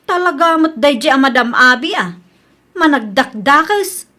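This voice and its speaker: Actress as high-pitched indignant Ilocano woman. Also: animated, normal speed, sharp tone. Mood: defensive, indignant. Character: defensive grown woman accused of stealing at work. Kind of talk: Ilocano radio comedy drama acting.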